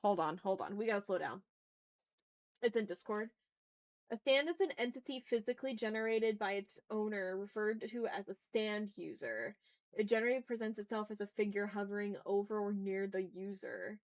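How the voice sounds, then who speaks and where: A woman chatting casually as she hosts an art livestream, talking to her viewers in an animated, slangy, teasing way with some swearing. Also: mid-range voice, moderate pace. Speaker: livestream host and artist. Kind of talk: casual art livestream chat, reading and answering chat messages.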